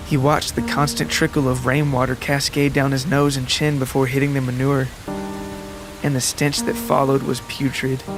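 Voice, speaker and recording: A man reading a scripted literary story as a dramatic narrator, with deliberate, descriptive phrasing. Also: medium pitch. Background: music and rain sounds.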